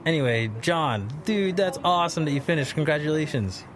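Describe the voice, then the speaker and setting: A man's voice: fairly high pitch, expressive, fairly quick, soft background music, lightly acted. An actor playing an easygoing, slightly defensive young man in casual audio drama dialogue. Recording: busy crowd behind dialogue.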